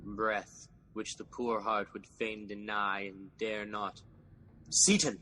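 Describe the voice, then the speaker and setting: An actor playing an embittered, defiant tyrant king in a Shakespearean audio drama, declaiming between hushed brooding and roars. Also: lowish voice, level tone, slow pace, slight background noise, rough recording. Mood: brooding, despairing.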